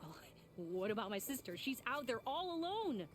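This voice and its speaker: A woman's voice: a little high, big pitch swings, moderate pace. An actress playing a worried, guilt-ridden female tinkerer in a video game, speaking in an earnest, wry, conversational way. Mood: anxious, pleading. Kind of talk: video game character acting. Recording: faint music behind the voice.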